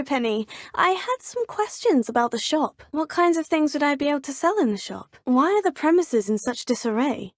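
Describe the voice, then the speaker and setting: An actress voicing a polite, curious young student witch in a game, asking measured questions. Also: high-pitched, dry recording. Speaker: player character. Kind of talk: video game voice lines. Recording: dry studio recording.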